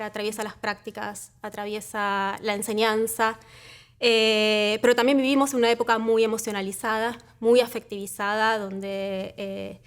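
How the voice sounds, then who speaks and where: A woman from Argentina with an Argentine accent, speaking as an academic researcher in a measured, reflective conversation with frequent pauses. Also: on the high side. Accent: Argentine Spanish.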